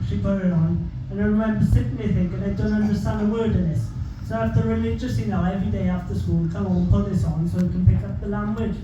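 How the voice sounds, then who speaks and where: A young man with a Welsh accent performs stand-up, telling stories in a deadpan manner and swearing freely. His voice sits fairly high for a man. The sound is distant and booms around a big echoey hall, with noise from the room underneath. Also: lo-fi sound. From Wales. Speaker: stand-up comedian. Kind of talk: stand-up comedy set. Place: theatre stage with audience.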